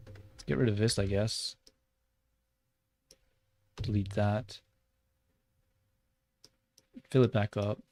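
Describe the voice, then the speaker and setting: A young Australian man livestreaming while he works, chatting loosely with lots of "like" and muttering curses at his software. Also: fairly low pitch, fairly even intonation, slow, creaky. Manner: rambling and loose, heavy on "like", muttering and swearing at the software. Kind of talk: casual livestream chat while 3D modeling.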